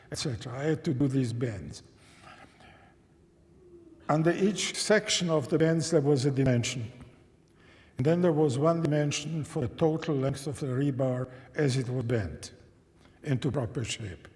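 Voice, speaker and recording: A man in his nineties, a native German and Czech speaker, recounting a personal memory to an audience in a thoughtful, measured way, often restarting phrases and asking listeners questions. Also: mid-range voice, a little mellow. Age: 90s. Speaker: guest speaker. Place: church sanctuary. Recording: reverberant church hall.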